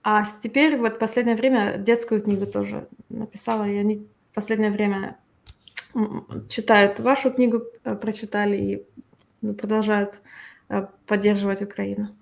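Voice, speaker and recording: A woman with a mid-pitched, noticeably creaky voice interprets informally on a video call. Her delivery is halting, with frequent searches for the right word. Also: level tone. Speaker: interpreter for a guest author.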